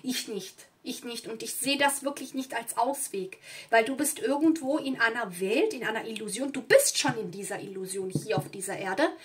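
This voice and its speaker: A woman's voice: on the high side, normal speed. A woman who is not a native German speaker, giving a casual, rambling tarot reading at a table indoors, with many fillers and asides. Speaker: tarot reader. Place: indoors at a table with candles and flowers.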